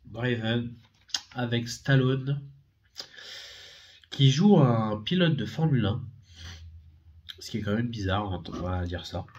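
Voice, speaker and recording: A man's voice: medium pitch, slow pace, long pauses, a little mellow, dull audio. Rambling man with a head cold. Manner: rambling, hesitant with many fillers and self-corrections. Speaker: DVD and Blu-ray collector. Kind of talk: casual unboxing video.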